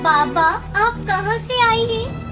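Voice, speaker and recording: A woman's voice: very high-pitched, animated, slow, heavily acted. A woman voicing a poor, devoted wife bird in a children's cartoon, plaintive and caring in manner. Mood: worried. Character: poor devoted young wife bird, anxious, self-sacrificing. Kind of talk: Hindi children's cartoon acting. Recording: music behind the voice.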